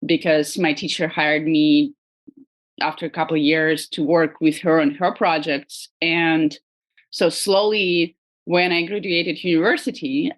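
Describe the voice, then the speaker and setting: A woman with a Russian accent, speaking as an artist guest in a casual interview, hesitant with frequent pauses and fillers. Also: low-pitched. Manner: hesitant, many ums and uhs, laughs lightly.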